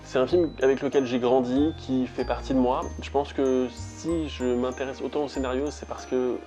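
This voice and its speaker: Young French YouTuber, mid-pitched, chatty, halting with fillers, soft music bed underneath. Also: male voice, flat delivery. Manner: chatty, rambling with frequent "euh". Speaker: YouTube host. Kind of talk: casual vlog talk to viewers.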